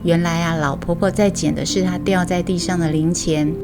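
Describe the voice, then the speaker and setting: A woman's voice: fairly low pitch, fairly even intonation, normal speed. A woman with a Taiwanese accent, a storytelling host reading scripted narration. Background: music bed.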